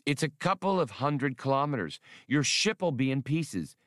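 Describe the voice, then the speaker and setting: A man voicing a sharp-tongued, sceptical young woman in an audiobook, with a scornful, challenging manner. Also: mid-range voice, sing-song, moderate pace, dry recording, clean studio sound, voice pushed up.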